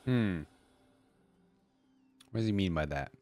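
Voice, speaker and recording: A man's voice: deep voice, animated, takes it slowly, a little mellow. A man hosting a reaction video, commenting casually and musing aloud in an informal way. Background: faint music.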